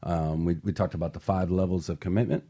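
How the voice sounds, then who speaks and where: Male radio co-host, low voice, motivational and emphatic, moves between scripted pitch and banter. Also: steady tone, fast talker, mellow. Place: radio studio.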